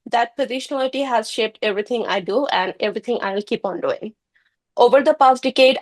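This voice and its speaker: Earnest woman presenting from Bangladesh. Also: a little high. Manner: earnest, with frequent fillers like "uh" and "like". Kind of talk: conference presentation.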